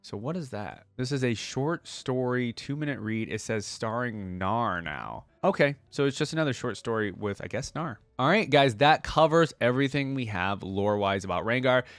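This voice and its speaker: A man's voice: mid-range voice, expressive, normal speed, few pauses, no room sound, faint music behind. A man giving casual, informal reaction commentary on a video game, talking directly to viewers.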